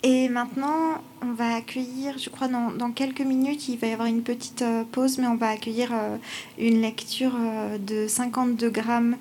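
A woman's voice: fairly high pitch, level tone, normal speed, a little mellow, slight background noise. A woman hosting the event, announcing the next reading with hesitations. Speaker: event host. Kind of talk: announcing the program.

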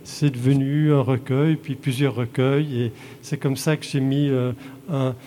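A man from Belgium, a translator, answering audience questions in a halting way with frequent restarts. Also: medium pitch, fairly even intonation, mellow, slight background noise. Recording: long hall reverb.

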